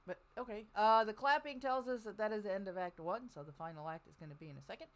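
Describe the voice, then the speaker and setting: A woman's voice: mid-range voice, fast. A woman hosting a casual livestream, speaking in an informal, wry way. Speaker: host.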